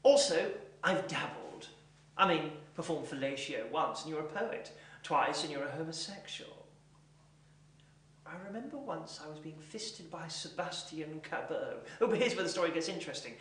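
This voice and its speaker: A man performing a comic monologue as an eccentric, pompous character, with a deadpan, deliberate, mannered delivery. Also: a little high. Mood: deadpan, confiding. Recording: echoey room, distant mic.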